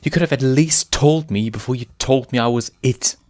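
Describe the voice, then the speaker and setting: A man's voice: medium pitch, normal speed, a little mellow, pitched above the performer's own voice, natural voice. A man voicing a sensible, spirited young female lion cub with a teasing, questioning manner. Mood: playful.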